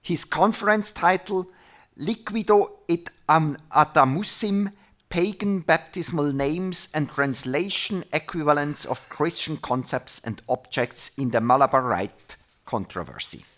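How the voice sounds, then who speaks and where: A speaker from Switzerland, who is not an English speaker, chairing an academic panel and introducing the speakers in halting, hesitant English. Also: medium pitch, fairly bright tone. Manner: halting, searching for words with many pauses.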